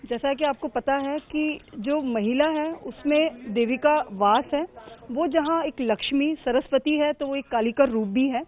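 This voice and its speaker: High-voiced woman, phone-narrow sound. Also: a little slow. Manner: earnest, mixes English phrases into Hindi. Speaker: air traffic control manager. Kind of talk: news interview answers.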